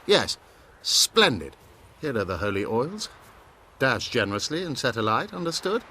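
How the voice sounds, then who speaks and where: Casting: self-righteous fantasy game priest, ringing forceful tone, grandiose and condescending delivery. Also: male voice, mid-range voice, very animated, normal speed, slight background noise. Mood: pompous, preachy. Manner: haughty, preachy, grandiose. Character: pompous, self-righteous male priest of a fire cult. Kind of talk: video game character acting.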